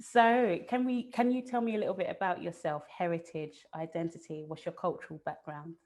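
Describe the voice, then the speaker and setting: A woman's voice: fairly low pitch, fairly even intonation, brisk, a little mellow. English woman, host, cheerful, laughs often, video call. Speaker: host and food photographer. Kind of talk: friendly interview over video call.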